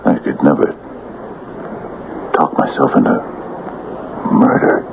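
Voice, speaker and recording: Casting: conflicted small-town man, gravelly and halting, swinging intonation, vintage radio drama on thin, telephone-like sound. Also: a bass voice, lively intonation, exaggerated voice. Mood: reluctant, shaken. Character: troubled townsman reluctantly giving in to a murder plot. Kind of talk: 1970s radio drama acting. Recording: tinny, noisy old radio broadcast.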